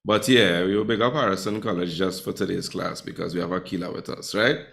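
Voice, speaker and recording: A man's voice: low voice, steady tone, a little fast, creaky, fairly bright tone. Playful man teaching. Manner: playful, teasing, chatty with students. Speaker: Spanish teacher. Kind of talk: live online class.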